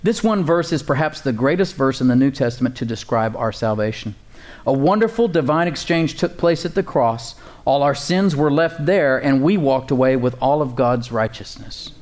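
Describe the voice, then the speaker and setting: Pastor preaching with folksy warmth. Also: male voice, mid-range voice, expressive, normal speed. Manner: conversational preaching, chuckles, emphatic repetition. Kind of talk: sermon.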